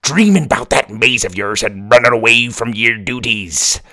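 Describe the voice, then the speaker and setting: A gruff, touchy pirate storybook, put on by a man reading aloud. It barks pirate slang and growls threats, louder than the narration, with a lively, swinging pitch. The sound is close and dry. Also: normal speed, no echo. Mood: gruff, bossy.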